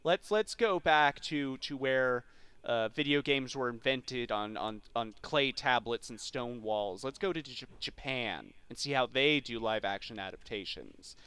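Man, podcast host, smooth clear voice, rambling geeky enthusiasm, dry recording. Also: mid-range voice, expressive, unhurried, bright, forceful tone, no echo. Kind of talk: casual film discussion podcast.

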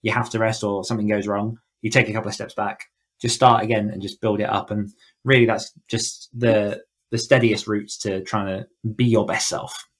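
Low-voiced man talking thoughtfully. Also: flat delivery, moderate pace. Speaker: guest author on a podcast. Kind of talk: casual, reflective interview talk.

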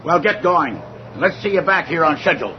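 An actor playing a scolding, sarcastic, strict transit supervisor in an old radio drama. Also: male voice, on the high side, animated, a little fast, lightly acted. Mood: stern. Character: irritated, bossy male transit supervisor, strict company man. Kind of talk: vintage radio drama acting. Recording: dull old radio recording, vehicle noise.

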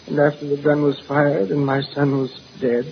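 A man's voice: a little high, level tone, moderate pace, gravelly. An actor playing an anxious, grieving middle-aged man in a 1940s radio drama, hesitant and earnest. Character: anxious, grieving father wrongly accused of murder. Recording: muffled, noisy old radio recording.

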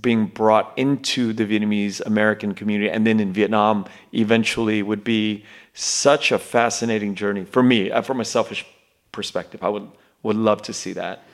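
A man's voice: lowish voice, steady tone. Rambling man hosting an interview. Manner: rambling, many fillers and restarts, quick bursts. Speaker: podcast host. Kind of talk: casual interview conversation.

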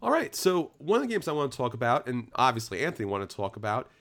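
A man's voice: medium pitch, sing-song, fast, fairly bright tone. Chatty man co-hosting a board game podcast. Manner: chatty, enthusiastic, restarts often. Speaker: podcast co-host. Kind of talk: casual chat.

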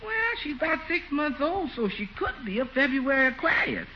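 An actress playing a good-natured, opinionated housekeeper in a 1940s radio comedy, folksy and emphatic. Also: female voice, sits high, expressive, normal speed. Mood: confident, cheerful. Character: good-natured, plain-spoken adult female housekeeper, confidently opinionated. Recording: dull, faintly noisy 1940s radio.

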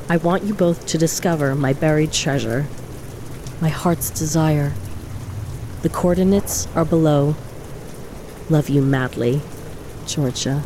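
A woman's voice: sits low, noisy background. An actress voicing a young woman reading her farewell letter in a tender, intimate way. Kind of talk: reading a letter in an audio drama.

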